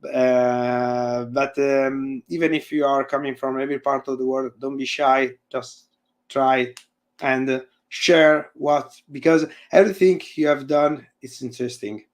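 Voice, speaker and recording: A man's voice: medium pitch, steady tone. Italian guy on a video call, english isn't his first language, lots of uhs and restarts, audio fades in and out. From Italy. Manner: halting, searching for words, frequent fillers and restarts. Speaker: guest presenter and university lecturer. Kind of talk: casual chat and live coding demo on a video call. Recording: audio fading in and out.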